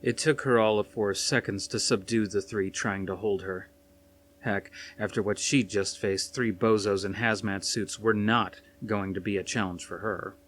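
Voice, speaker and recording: A man's voice: fairly low pitch, fairly even intonation, moderate pace, fairly bright tone. A man reading aloud as an audiobook narrator, in a dramatic scripted style. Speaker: audiobook reader. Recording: faint noise behind the reading.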